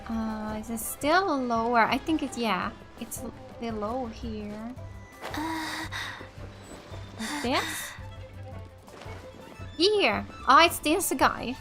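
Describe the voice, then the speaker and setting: High-voiced woman gaming casually. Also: animated, extremely slow, long pauses, sharp tone, soft background music. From Finland. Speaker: gaming let's play host. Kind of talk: casual gameplay commentary.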